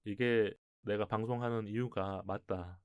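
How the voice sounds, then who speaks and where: A man's voice: lowish voice, steady tone, unhurried, smooth, dry recording. A man hosting a radio show in a conversational style, talking to listeners and a guest.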